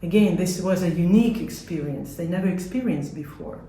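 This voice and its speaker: A woman with a Latvian accent giving measured, earnest explanations as an interviewee about her work. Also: fairly low pitch, dark tone. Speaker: community support organisation worker being interviewed. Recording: echoey room.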